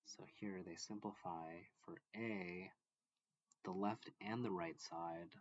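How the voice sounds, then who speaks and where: Low-voiced man teaching math, echoey room. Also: fairly even intonation, slow, highs cut off. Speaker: math teacher reading a textbook aloud. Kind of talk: instructional read-along of a math textbook.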